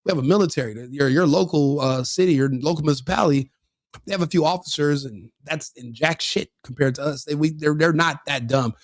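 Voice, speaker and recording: A man's voice: fairly high pitch, a little fast, no echo, clean studio sound. A man giving crude, mocking commentary on a video, sneering and laughing often.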